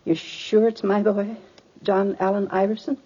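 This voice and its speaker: An actress playing a gentle, grieving mother in her mid sixties in a 1950s radio drama, polite, hesitant and emotional. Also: mid-range voice, little pitch movement, moderate pace, breathy edge, close to an everyday voice. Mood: alarmed, disbelieving. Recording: muffled vintage radio recording.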